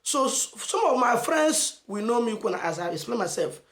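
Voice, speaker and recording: A Nigerian man giving an emphatic motivational message as a channel host, asking rhetorical questions and stressing phrases slowly. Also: very high voice, very animated, fairly bright tone, reverberant. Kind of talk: motivational preaching to camera.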